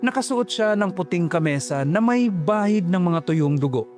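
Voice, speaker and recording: A man's voice: high voice, expressive, moderate pace, darkish tone, faint music behind. A man narrating a first-person horror story in a tense, dramatic way.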